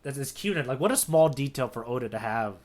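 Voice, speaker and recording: A man's voice: medium pitch, expressive, quick pace, a little mellow. A man co-hosting a casual manga readalong podcast, talking with slangy enthusiasm. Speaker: podcast co-host.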